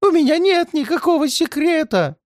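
A man's voice: lively intonation, normal speed, no echo, clean studio sound. A man doing a wailing, terrified old man. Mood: pleading. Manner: wailing, high pleading cries. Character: frightened pleading old man, terrified and paranoid.

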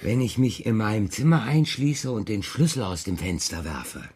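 Musing, playful radio-play dad. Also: male voice, on the low side, big pitch swings, normal speed, darkish tone, slightly rough. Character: restless, playful father talking with his young daughter. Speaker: actor in a children's radio play. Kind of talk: German children's radio drama acting. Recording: studio radio play with music.

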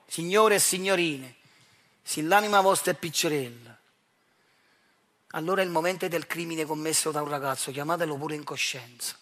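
High-pitched Neapolitan man, provocative stage monologue. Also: a bit bright. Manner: provocative, accusatory, rhetorical questioning. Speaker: stage actor performing his own monologue. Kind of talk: theatrical monologue addressed directly to the audience.

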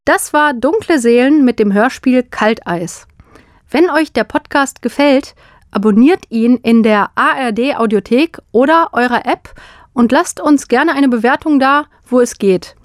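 A woman's voice: sits high, measured pace, no echo. A woman podcast host reading a scripted outro in a studio.

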